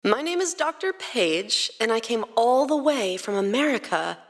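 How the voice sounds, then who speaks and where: A woman voicing a calm, coaxing doctor with a sweet, gentle manner in a story reading. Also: fairly high pitch. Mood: softly welcoming. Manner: slow, gentle, sweetly patient. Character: soft-spoken woman doctor, calm, coaxing, falsely warm. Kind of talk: dramatized fan fiction reading.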